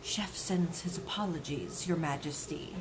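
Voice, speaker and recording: A woman voicing a deferential, hushed royal butler in a storybook, speaking in a whisper. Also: deep voice, measured pace, noisy background, voice pushed down. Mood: apologetic.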